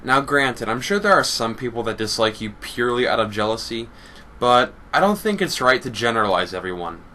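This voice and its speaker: Male YouTube commentator, bright and pointed tone, measured and articulate, reading a prepared rebuttal. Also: mid-range voice, big pitch swings, a little hiss or hum. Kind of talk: scripted response commentary.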